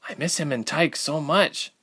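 A man's voice: on the high side, normal speed, bright, forceful tone, breathy edge, pitched above the performer's own voice. A man voicing an anxious, reluctant, homesick young woman in an audiobook, with a plaintive, sighing manner. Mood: sad, worried. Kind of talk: audiobook character voice.

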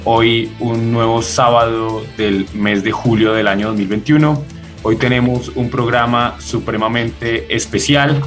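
A man's voice: medium pitch, fairly even intonation, measured pace, faint music behind. A man hosting a radio program, opening the show with upbeat enthusiasm.

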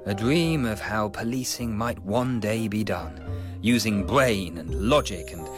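Earnest, clever young English policeman, radio comedy; lively pitch movement, well-spoken, music underneath. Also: measured pace. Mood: rueful narration. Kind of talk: BBC radio comedy acting.

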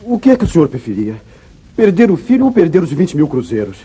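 An actor playing a defiant, cynical wayward son in a 1950s Brazilian radio drama. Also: male voice, on the high side, very animated, fast, warm tone, slightly rough, exaggerated voice. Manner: cynical, defensive, insolent. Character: defiant, wayward adult son, a gambler in debt.

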